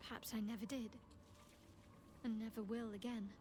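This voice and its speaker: A woman playing a soft-hearted, admiring companion in a video game. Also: a little high, level tone, moderate pace, natural voice. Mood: tender. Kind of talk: video game character acting. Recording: loud music behind the voice.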